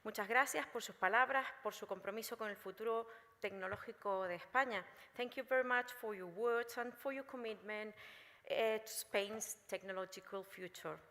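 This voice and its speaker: A woman introduces speakers at a conference in a formal tone, stumbling slightly each time she switches languages. Her voice is bright, and the large hall adds a long reverb. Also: medium pitch, a little fast. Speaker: event presenter. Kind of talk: bilingual event hosting.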